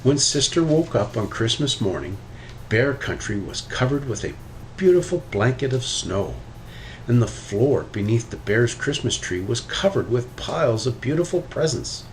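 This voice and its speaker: A man reading a children's story aloud with expressive, animated delivery. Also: medium pitch, normal speed, slight background noise, poor audio. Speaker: storybook reader.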